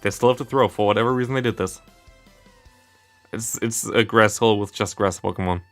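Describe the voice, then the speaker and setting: A German man with a fairly low voice chats casually as he livestreams a game. His English carries a German accent, and he rambles in a self-deprecating way. Faint music plays behind him. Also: brisk, fairly bright tone. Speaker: livestreamer and content creator. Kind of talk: casual live game commentary and chat. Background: background game music.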